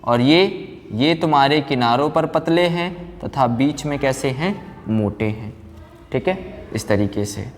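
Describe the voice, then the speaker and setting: A man's voice: mid-range voice, animated, slow pace. A man teaching a physics class like a lecturer, asking questions and answering them himself. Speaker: science teacher. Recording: very reverberant room, background noise.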